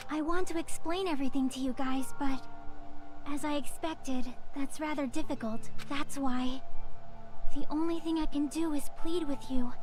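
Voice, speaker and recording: A woman playing a gentle, soft-spoken teenage girl in a video game, calm and measured in manner. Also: high voice, lightly acted. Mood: gentle pleading. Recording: music under the voice.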